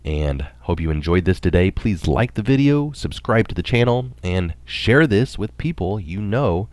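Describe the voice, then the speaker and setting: A man's voice: sits low, lively intonation, normal speed, darkish tone. A man narrating a scripted science article, reading it aloud.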